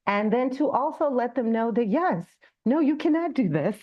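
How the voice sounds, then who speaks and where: A woman's voice: on the high side, expressive, moderate pace, dull audio. A woman giving an informal business presentation as a guest speaker, conversational, using rhetorical questions and acted-out examples.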